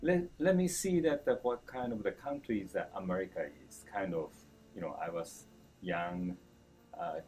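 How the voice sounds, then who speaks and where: A man in his fifties from Japan with a Japanese accent, answering interview questions in broken English with chuckles and lively gestures. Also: low voice, moderate pace, soft background music, poor audio. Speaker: chef being interviewed. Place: indoor store event, seated before a branded backdrop.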